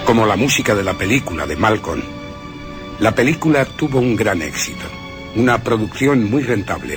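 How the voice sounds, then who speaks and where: Low-voiced man narrating over music. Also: fairly bright tone. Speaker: radio presenter. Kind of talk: scripted film-history narration.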